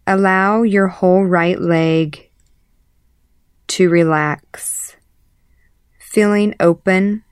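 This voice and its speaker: A woman leading a guided meditation as an instructor, calmly and in short, measured phrases with pauses. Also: fairly low pitch, clean tone, no room sound, studio quality. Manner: calm, measured, pausing between short phrases. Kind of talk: guided body scan meditation.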